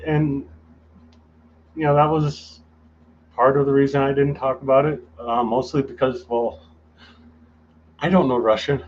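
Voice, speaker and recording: Man, mid pitch, hesitant interview guest, muffled audio, faint background hiss. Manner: hesitant, restarts sentences often. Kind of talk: casual interview conversation. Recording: dull lo-fi audio with faint noise.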